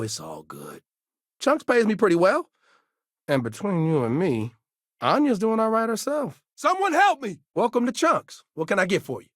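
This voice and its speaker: An actor playing an eager, gullible fast-food manager in a video game, talking in an upbeat, chatty, salesy way. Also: male voice, high-pitched, a bit bright, no echo, clean studio sound, exaggerated voice.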